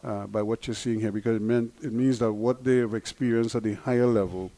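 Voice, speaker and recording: A man with a lightly Guyanese-tinged accent giving measured, analytical live cricket commentary, with frequent chuckles. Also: mid-range voice, steady tone, dark tone. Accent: Guyanese variation.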